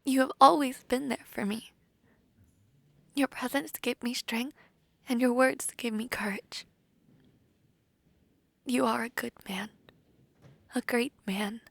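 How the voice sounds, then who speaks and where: A woman acting as a tender fantasy princess bride speaks softly and breathily, with heartfelt devotion. Her voice is lightly high and unhurried, recorded close and dry for romantic audio drama. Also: fairly even intonation, gravelly, dry recording, full character voice. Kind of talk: scripted romantic audio roleplay.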